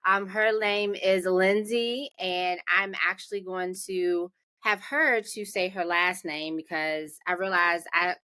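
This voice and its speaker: Bright-voiced woman hosting a podcast. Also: fairly low pitch, fairly even intonation, takes it slowly, smooth. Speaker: podcast host. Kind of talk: podcast introduction and interview chat.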